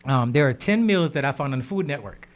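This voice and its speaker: A man hosting a radio talk show, giving casual diet advice. He speaks with lively energy, repeats words, and keeps checking in with "right?". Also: medium pitch, quick pace. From Haitian background. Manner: animated, repeats words, asks "right?" often.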